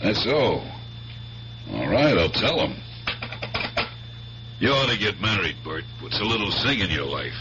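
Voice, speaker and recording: An actor playing a breezy, nosy police lieutenant in a 1970s radio drama, chatty and needling in a casual way. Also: male voice, low voice, sing-song, a little slow, pauses often. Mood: breezy, idle. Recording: muffled 1970s radio broadcast with loud hiss.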